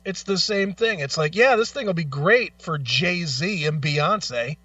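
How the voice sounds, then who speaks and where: A man's voice: on the high side, expressive, a little slow, bright, forceful tone, dry recording, recorded from a distance, muffled recording. A man co-hosting a radio show in casual, opinionated chat, blunt and freely swearing. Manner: blunt, profane.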